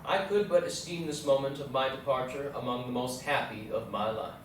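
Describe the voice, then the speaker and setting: A man's voice: medium pitch, natural voice. Very echoey, formal man playing an explorer captain. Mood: solemn hope. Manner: measured, formal, literary. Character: earnest male American explorer captain, reading his journal. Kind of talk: readers theatre journal recitation. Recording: echoey hall, distant mic, faint noise.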